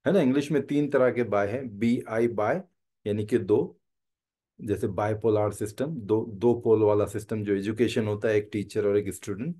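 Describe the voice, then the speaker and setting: Mellow-voiced Indian man teaching. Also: medium pitch. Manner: explanatory, repeats phrases for learners. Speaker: English teacher. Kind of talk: bilingual language lesson, reading example sentences and explaining them.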